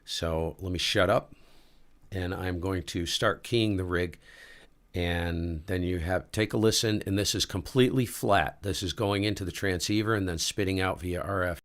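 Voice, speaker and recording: A man with a very low voice running a casual live ham radio demo, talking to himself as he adjusts settings. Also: normal speed. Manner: relaxed, talks to himself while adjusting gear. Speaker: ham radio livestream host.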